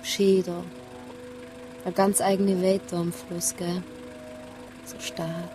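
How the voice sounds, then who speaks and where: An actress playing a self-assured, easygoing police officer in a radio drama, with a relaxed, teasing manner. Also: female voice, on the low side, takes it slowly, music in the background. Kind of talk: naturalistic radio drama acting.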